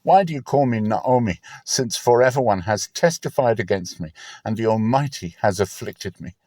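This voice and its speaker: A bitter, grieving older widow, acted by a man laments in a dramatic scripture reading. The voice swings widely in pitch, with a dry, close sound. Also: lively intonation, normal speed, dark tone, no echo.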